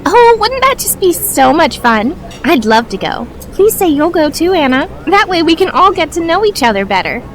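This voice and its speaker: Sugary, catty young woman, played by an actress, lively pitch. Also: moderate pace, few pauses. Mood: gushing, fake sweet. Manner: gushing, syrupy, feigned friendliness. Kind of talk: audio drama acting.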